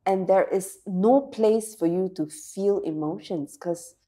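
A woman's voice: medium pitch, takes it slowly, mellow, husky. A woman in her forties from Singapore, a guest telling her story in a studio interview with a lapel mic, reflective and earnest, with fillers and restarts. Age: 40s. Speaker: interview guest, emotional resilience speaker. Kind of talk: candid interview conversation. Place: studio set with sofas.

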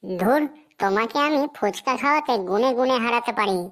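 A woman's voice: fairly high pitch, animated, fairly quick, a bit bright. A woman voicing a nagging, demanding, gullible wife in a comedy cartoon, with a theatrical scolding manner and a rural western Bengal accent. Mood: challenging. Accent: rural western Bengal Bengali dialect. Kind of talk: comic cartoon dialogue.

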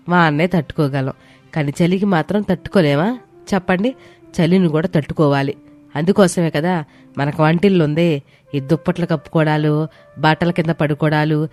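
A woman's voice: deep voice, darkish tone, natural voice. A woman voicing an irritable elderly mother-in-law in a family story, nagging and sarcastic. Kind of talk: dramatized moral story voice acting. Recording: music behind the voice.

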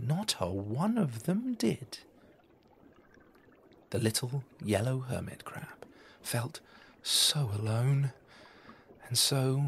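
A man reading a children's story aloud in a deliberate, theatrical storytelling manner. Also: medium pitch, a bit bright, slight background noise. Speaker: storybook reader. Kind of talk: expressive children's story narration.

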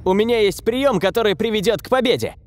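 A man's voice: high voice, expressive, quick pace, slight background noise. A man voicing a doubtful young star footballer in a dubbed cartoon. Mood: joking.

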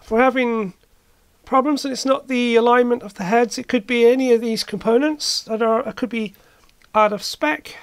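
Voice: mid-range voice, normal speed, no room sound. A hobbyist presenter giving a casual hands-on repair commentary, thinking aloud while working. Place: indoor workbench.

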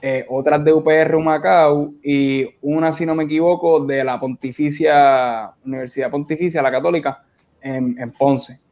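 A man's voice: a little high, fairly even intonation, normal speed, darkish tone. A young man with a Puerto Rican accent chatting informally as an interview guest over a video call. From Puerto Rico. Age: young adult. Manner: informal, colloquial. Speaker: guest, beach cleanup organizer. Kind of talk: casual interview conversation. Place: indoor room on a video call. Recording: dull, lo-fi video call audio.

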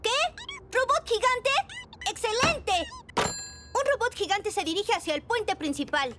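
A childlike cartoon penguin boss, grumpy and demanding. The voice is very high with big swings, music behind. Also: female voice, sing-song, measured pace, full character voice. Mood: urgent, bossy. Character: bossy grumpy penguin newspaper editor, childlike. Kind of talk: dubbed children's cartoon acting.

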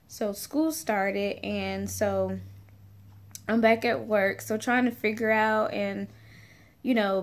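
Slow-talking woman, casual. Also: medium pitch. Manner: informal, folksy, conversational. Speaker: family vlogger. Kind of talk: casual chat to camera. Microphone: phone camera at arm's length.

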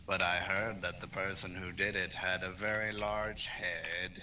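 A man's voice: sits low, little pitch movement, measured pace, pushed and bright, slight background noise, low-quality recording. A man chatting casually as a gaming partner, joking and teasing as he talks. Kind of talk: casual gameplay chat.

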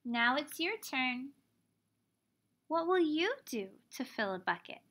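A woman in her thirties reading a children's picture book aloud like a teacher, expressively, pausing to explain things simply for young children. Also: high-pitched, sing-song, a bit bright. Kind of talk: children's storybook read-aloud.

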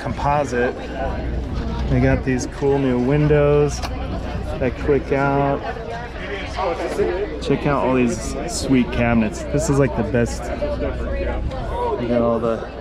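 A man's voice: mid-range voice, level tone, takes it slowly, pauses often. A man giving a casual on-the-spot walkthrough as a vlogger, recorded outdoors on a handheld camera. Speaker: vlogger touring an outdoor expo. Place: outdoors at a busy vehicle expo. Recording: loud outdoor crowd noise.

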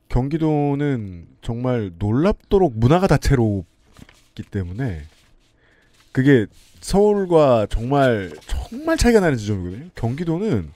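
A man's voice: mid-range voice, warm tone, dry recording. A man hosting a talk podcast, trading dry jokes and asides in casual studio chat. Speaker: podcast host. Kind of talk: casual talk-show banter.

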